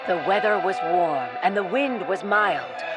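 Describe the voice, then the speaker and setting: A woman's voice: on the low side, lively intonation, a little slow. A woman narrator reading scripted adventure storytelling in a theatrical, literary manner. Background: music.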